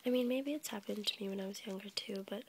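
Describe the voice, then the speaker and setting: A young woman with a cold, whispering softly as she rambles for an ASMR video, stuffy and a little congested. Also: mid-range voice, fast talker, slight background noise. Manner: soft unvoiced whisper, rambling with fillers and small laughs. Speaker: ASMR YouTuber. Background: crinkling packaging.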